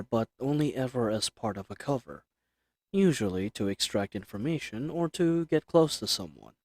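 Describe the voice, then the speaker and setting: Guy acting as a polite hired killer with a crush, mid-pitched male voice, measured and formal, very dry sound, romance audio roleplay. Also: no room sound. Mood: flattered, sincere. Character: lovestruck male assassin, reserved, formal, earnestly flirtatious. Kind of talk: scripted romantic audio roleplay addressed to the listener.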